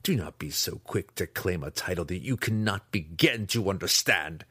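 A man voicing a fierce, derisive dark-elf weapons master, in a husky, raised voice. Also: low-pitched, expressive, moderate pace, no room sound, clean studio sound, heavily acted. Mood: goading. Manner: growling, derisive. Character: fierce taunting male drow weapons master.

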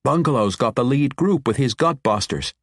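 A man voicing a young male dwarf king, calm and reassuring, with a dwarven brogue. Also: mid-range voice, normal speed, dry recording, studio quality, voice pushed up. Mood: explaining.

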